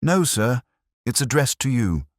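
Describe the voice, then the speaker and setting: A man's voice: mid-range voice, big pitch swings, unhurried, dry recording, clean studio sound, pitched above the performer's own voice. A man voicing an uneasy, dutiful woman archivist who speaks politely and factually in a mystery story.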